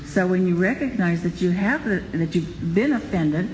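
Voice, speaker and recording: A Bible study member making a halting comment full of fillers. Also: mid-range voice, animated, darkish tone. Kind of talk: group discussion comment. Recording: distant from mic in echoey room with noise.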